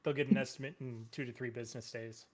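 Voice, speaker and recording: A man running a tabletop game as game master, talking in a relaxed way with lots of fillers. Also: mid-range voice, fast. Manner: relaxed, filler-heavy, easygoing. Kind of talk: casual tabletop game narration.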